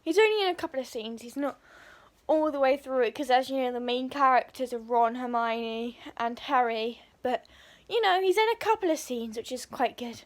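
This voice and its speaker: A woman talks to her viewers in a loose, chatty vlog, circling back and repeating her points. Her voice sits high and has gone croaky from a sore throat. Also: normal speed. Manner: chatty, rambling, repeats herself. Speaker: vlogger. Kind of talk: casual vlog chat.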